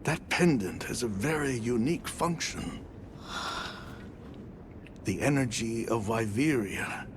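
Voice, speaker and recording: An actor playing a solemn older guardian elder in a video game cutscene, speaking in a measured, formal manner. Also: male voice, medium pitch, lively intonation, noisy background. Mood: grave, solemn.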